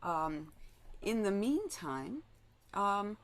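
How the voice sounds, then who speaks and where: A woman's voice: medium pitch, sing-song, extremely slow. A woman moderating a panel discussion, whose first language was Spanish, talking with many fillers and a habit of ending points with "right?". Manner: frequent "um" and tag "right?".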